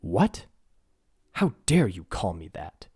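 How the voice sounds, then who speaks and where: A man voicing a young giant princess who is proud and quick-tempered, his voice pushed up high as she stammers in indignation. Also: a little mellow, husky, dry recording, studio quality, full character voice. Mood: indignant. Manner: pitched far up, stammering, indignant.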